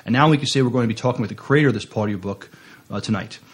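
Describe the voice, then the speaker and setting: A man's voice: medium pitch, racing, husky. A man co-hosting a podcast interview, speaking in a presenter's introducing style. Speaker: podcast co-host.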